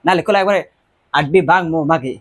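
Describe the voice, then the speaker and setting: A man acts out an old village grandmother with a high-pitched voice. She speaks in rural Bangladeshi dialect, bossy and blunt, nagging and cursing as she accuses people in an improvised prank call. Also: a little fast, breathy edge. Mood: angry scolding.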